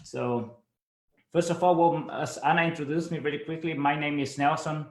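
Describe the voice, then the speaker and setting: A fairly high-voiced young man from El Salvador presents a webinar over a computer mic. He talks loosely and peppers his points with fillers. His voice carries a noticeable echo from the room around him. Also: steady tone, moderate pace. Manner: casual, with many fillers like "like" and "you know". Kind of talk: online presentation. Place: home office, based in Germany.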